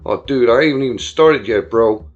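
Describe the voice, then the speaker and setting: A man voicing a crude, volatile young frat-bro bully in an audio story, loud and slangy with menacing taunts. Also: mid-range voice, normal speed, muffled recording, faint music behind, natural voice. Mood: gloating menace.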